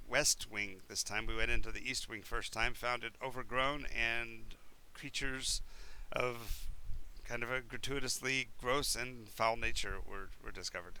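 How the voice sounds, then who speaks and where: A man's voice: mid-range voice, sharp tone, clean tone. A man running a tabletop role-playing game, describing rooms at length with many fillers and restarts. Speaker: game master.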